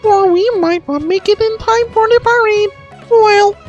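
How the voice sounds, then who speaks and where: A man voicing a proud, bossy senior female scholar in a game, brisk and scolding. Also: very high-pitched, lo-fi sound, soft background music, pitched above the performer's own voice. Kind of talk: game character voice acting.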